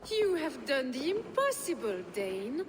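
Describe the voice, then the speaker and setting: Game noblewoman: scornful, wry, sharp-tongued, higher register, reverberant hall. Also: very animated, measured pace. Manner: wry, haughty. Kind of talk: video game acting. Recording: reverberant hall, clear background noise.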